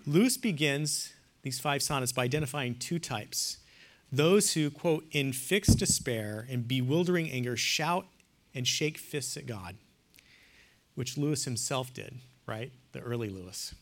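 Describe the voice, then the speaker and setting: Earnest male lecturer, hushed to loud. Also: mid-range voice, lively intonation, unhurried. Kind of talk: classroom lecture on theology and poetry.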